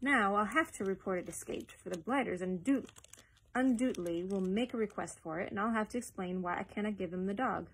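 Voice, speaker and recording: A woman's voice: fairly high pitch, animated, normal speed, slightly rough, rough recording. A woman voicing a stern, pompous older male civil servant who lectures with emphasis in a storybook reading. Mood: blustering.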